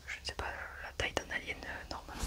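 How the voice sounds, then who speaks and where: A person whispering an ASMR medical roleplay as an examiner, methodically and softly narrating each step. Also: quick pace. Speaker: ASMR roleplayer acting as an examiner doing an alien autopsy.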